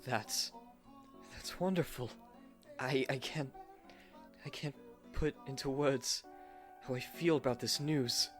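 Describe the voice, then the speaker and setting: A man acting a devoted, earnest young gentleman newlywed in a romantic roleplay, with formal old-fashioned phrasing. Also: mid-range voice, slow pace, pauses often, soft background music, pitched above the performer's own voice, close to an everyday voice. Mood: stunned joy.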